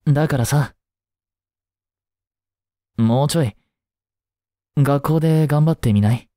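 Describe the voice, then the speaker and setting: A man playing a kind, easygoing schoolteacher in a voice drama, gently and casually encouraging a student. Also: a little high, no echo, studio quality. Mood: reassuring, encouraging. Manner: gentle, unhurried, casual, reassuring with long pauses. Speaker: voice drama performer. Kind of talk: Japanese voice drama, one-sided intimate talk to the listener.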